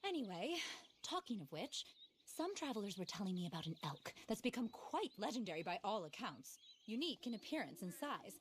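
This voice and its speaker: A woman's voice: medium pitch, big pitch swings, a little fast, a little hiss or hum, natural voice. An actress playing an eccentric, easily flustered lady naturalist in a video game, speaking in a genteel, fervent, theatrical way. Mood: earnest. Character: earnest, flustered, eccentric lady naturalist who adores animals. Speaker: character in a video game cutscene. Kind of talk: dramatic game voice acting.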